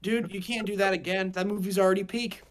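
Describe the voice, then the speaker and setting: A man co-hosting a podcast, talking in a casual, slangy and animated way with friends. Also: sits high, quick pace, over a noisy crowd. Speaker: podcast co-host. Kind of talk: casual group podcast chat.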